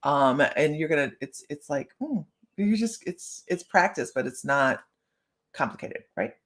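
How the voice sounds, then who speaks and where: A woman's voice: sits low, lively intonation, a little slow. A middle-aged woman hosting a live stream as a psychic medium, chatting to viewers and greeting them by name.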